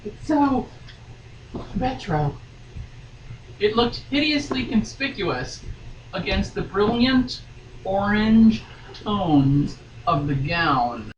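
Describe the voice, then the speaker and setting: A woman's voice: medium pitch, big pitch swings, extremely slow, long pauses, lo-fi sound. A woman reading a story aloud in a wry, theatrical way, breaking off for mocking asides. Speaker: podcast guest reading a novel aloud. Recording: roomy, distant mic, faint noise.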